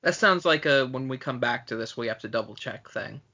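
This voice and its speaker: A man's voice: medium pitch, lively intonation, a little fast, sharp tone, dull audio. A man co-hosting a podcast in casual film chat among friends, rambling conversationally and swearing freely. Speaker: podcast co-host.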